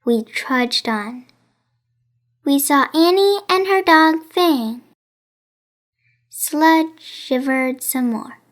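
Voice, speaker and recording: A woman reading a children's story in expressive, careful, deliberate narration. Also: sits high. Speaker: children's audiobook reader.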